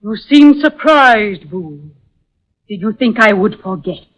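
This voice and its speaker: A woman's voice: medium pitch, expressive, slow pace, low-quality recording. An actress playing a regal ancient empress spirit possessing a young woman, stately, formal and solemn, in 1940s radio horror style. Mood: defiant. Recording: old 1940s radio recording.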